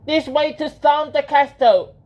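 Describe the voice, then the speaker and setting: A man voicing a tiny, cocky, bossy mouse in a children's audiobook, squeaky and exclaiming. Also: little pitch movement, measured pace, darkish tone. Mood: rallying.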